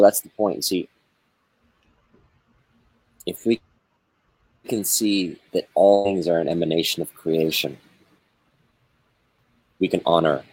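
A man talking reflectively about spirituality in a conversation, with measured, searching phrasing and many pauses. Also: sits low, a little mellow, breathy edge, no room sound, low-quality recording. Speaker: spiritual guest.

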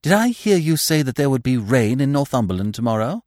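A man voicing a nervous, feverish young gentleman in a storybook, tense and secretive. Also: medium pitch, very animated, brisk, dry recording, studio quality, pitched above the performer's own voice, natural voice.

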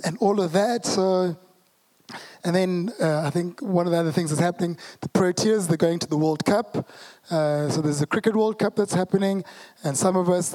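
Hoarse South African preacher, warm dark tone, relaxed conversational sermon. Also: mid-range voice. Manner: conversational, joking asides to the congregation. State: hoarse, losing voice.

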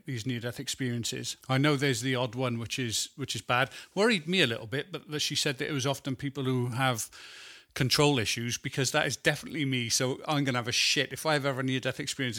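Bright-voiced Welsh man hosting a podcast. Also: medium pitch. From Wales. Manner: chatty, jokey, full of fillers. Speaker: podcast co-host. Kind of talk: casual podcast chat.

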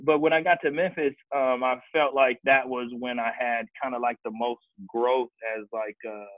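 A man's voice: medium pitch, steady tone, moderate pace, dry recording. A man from Kansas City, Missouri, casually telling his life story as a guest, with many restarts. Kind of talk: casual podcast chat. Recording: dry, tinny phone-like sound.

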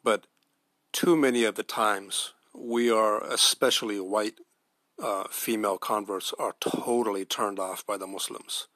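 A man's voice: fairly low pitch, steady tone, a little slow, pushed and bright, dry recording. A man with an American accent giving an earnest, emphatic opinion monologue, pressing his points with rhetorical questions. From United States. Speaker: podcast host.

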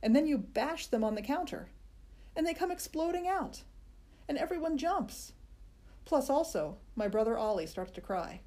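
A woman voicing an eager, boastful little schoolgirl in an audiobook, with an excited, emphatic delivery. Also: sits high, moderate pace, pitched above the performer's own voice. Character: spunky bossy little schoolgirl, loud and eager. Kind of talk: audiobook character voice.

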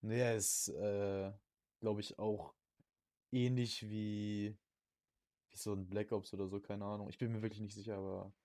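A young man with a fairly low, relaxed voice co-hosts a casual podcast. He talks in informal slang and often pauses to find his words. The sound is dry, with very little room in it. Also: no room sound. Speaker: podcast co-host. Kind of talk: casual chat.

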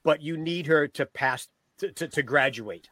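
A man's voice: a little high, moderate pace, pushed and bright, dry recording. Stuffy-nosed man chatting on a podcast. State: stuffy from a cold. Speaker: podcast co-host. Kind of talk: casual book discussion.

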